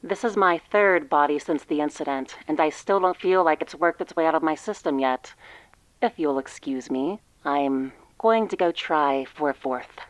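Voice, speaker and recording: Woman playing a very low-voiced, weary doctor. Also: normal speed, lightly acted, rough recording. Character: weary, wry doctor living in a replacement body. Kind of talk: scripted audio drama testimonial.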